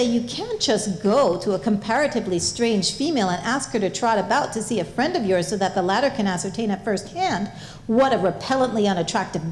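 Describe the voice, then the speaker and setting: A woman reading scripted comic narration as the storyteller of a staged reading. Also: fairly high pitch. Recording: roomy, echoing live recording.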